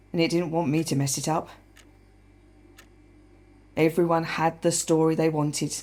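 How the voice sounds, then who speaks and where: A woman playing a guilt-ridden widow in a radio play monologue, halting, reflective and bitter. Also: on the low side, darkish tone, close to an everyday voice. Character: guilt-ridden widow confiding in her dead husband.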